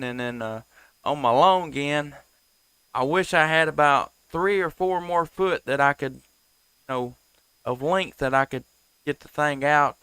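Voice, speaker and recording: A man chatting casually as a ham radio operator, plain-spoken with hesitant pauses. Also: mid-range voice, bright, forceful tone, smooth, dry recording, rough recording. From Tennessee. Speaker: ham radio operator, live stream host.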